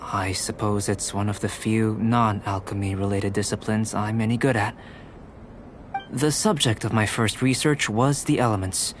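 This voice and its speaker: A man voicing a calm, curious young male alchemist in a video game, with precise, academic and politely detached delivery. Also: lowish voice, slight background noise, close to an everyday voice. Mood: curious, explaining. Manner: measured, precise, academic phrasing.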